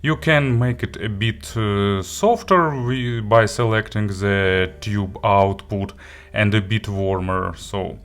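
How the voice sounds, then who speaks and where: Low-voiced man reviewing audio gear. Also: a bit bright. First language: not English. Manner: halting, often searching for words and rephrasing. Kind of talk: unscripted product review talk. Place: indoor studio.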